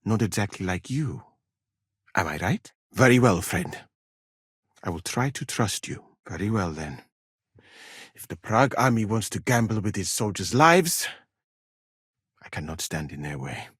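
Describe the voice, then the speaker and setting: A man's voice: fairly low pitch, big pitch swings, a bit bright, husky, no echo, studio quality, exaggerated voice. A man playing a proud, exacting medieval physician in a video game, speaking formally and precisely. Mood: measured, guarded. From Mali. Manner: formal, measured, precise wording. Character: proud, exacting foreign male physician in a medieval war camp. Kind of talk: dramatic video game dialogue.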